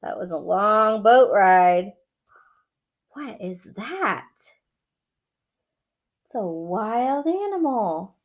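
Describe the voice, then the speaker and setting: A woman reading a children's picture book aloud expressively, drawing out phrases and adding simple asides for young listeners. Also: mid-range voice. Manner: expressive, drawn-out delivery. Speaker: storybook reader.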